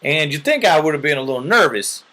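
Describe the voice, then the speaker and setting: A man's voice: on the high side, very animated, quick pace, pushed and bright. A man telling a long mock-serious story in an earnest, rambling way, often checking in with "right?".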